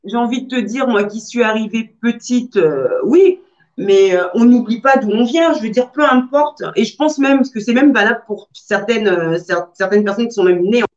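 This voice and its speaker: A woman in her thirties answering interview questions from home over a video call, speaking in a measured way with frequent fillers and restarts. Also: a little high. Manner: measured, hesitant with many fillers. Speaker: guest entrepreneur. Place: room at home, indoors. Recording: dull lo-fi video-call audio.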